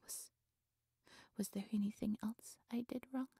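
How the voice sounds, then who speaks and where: Woman acting a breathy, whispery, worshipful dark priestess. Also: mid-range voice, fairly even intonation, unhurried, dry recording, clean studio sound, heavily acted. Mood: contrite, reverent. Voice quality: soft, breathy, often whispery. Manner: reverent, formal, fawning. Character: devoted, obsessive young high priestess worshipping her dark god. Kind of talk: breathy audio roleplay acting.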